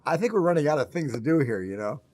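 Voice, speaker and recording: A man's voice: fairly high pitch, big pitch swings, fast, dark tone, no echo. Wry man recalling film-set stunts. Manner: wry and self-mocking. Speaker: filmmaker. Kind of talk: interview anecdote.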